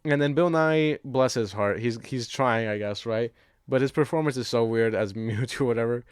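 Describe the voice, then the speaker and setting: A man's voice: medium pitch, moderate pace, clear, resonant tone, no room sound, clean studio sound. Loose-talking man, casual and profane. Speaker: podcast co-host. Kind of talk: casual chat, film discussion.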